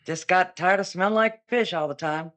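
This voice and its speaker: An actress playing a chatty young woman eyewitness in a comic radio drama, rambling and deadpan. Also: fairly low pitch, moderate pace, lightly acted. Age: 20s. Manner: chatty, meandering, with an offbeat deadpan.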